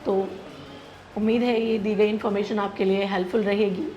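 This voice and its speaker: Indian female doctor-presenter, mid-pitched, instructive and direct, code-mixing English; slight background noise. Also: fairly even intonation, moderate pace, warm tone, lo-fi sound. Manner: instructive, direct address, English terms and tags like "alright" mixed in. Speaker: gynecologist presenting a health channel. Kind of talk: explanatory medical advice to camera.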